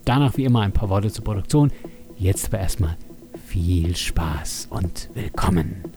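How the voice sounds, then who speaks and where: A man's voice: deep voice, lively intonation, normal speed, warm tone. A man speaking as podcast host and producer in a scripted introduction. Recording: music behind the voice. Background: music.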